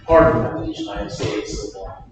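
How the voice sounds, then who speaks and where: A man reading a proud, wronged noble duke in a staged Shakespeare reading, with measured, declamatory verse. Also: fairly low pitch, lively intonation, lightly acted. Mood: indignant. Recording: echoey hall, far from mic, faint noise.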